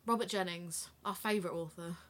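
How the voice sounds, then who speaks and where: A woman's voice: on the low side, steady tone, fairly quick, a little hiss or hum, close to an everyday voice. A woman playing a true-crime podcast co-investigator, talking plainly and conversationally in a scripted drama. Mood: sober.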